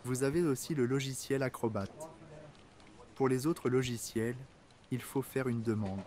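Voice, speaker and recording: A man reading scripted workplace dialogues for French learners, in a plain, clear way. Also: mid-range voice, normal speed, darkish tone, close to an everyday voice.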